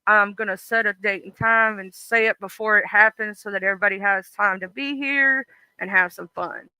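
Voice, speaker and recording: Bright-voiced livestream host reading scary stories. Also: mid-range voice, normal speed, smooth, dry recording, low-quality recording. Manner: even, steady story narration, chatty with fillers and restarts. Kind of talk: horror story reading, then casual chat.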